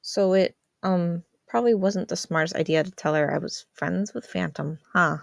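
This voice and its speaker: A woman's voice: lowish voice, moderate pace, gravelly, dry recording, clean studio sound. Teen boy with a secret, played by a female reader, audio story, sheepish, evasive, offhand delivery. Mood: defensive. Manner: hesitant, casual, defensive.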